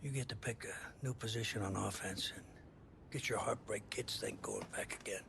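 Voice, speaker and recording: An actor playing an earnest, firm middle-aged football coach in a video game drama, speaking plainly and haltingly. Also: male voice, on the low side, creaky, background noise. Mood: earnest, persuasive. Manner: halting, deliberate, plain-spoken.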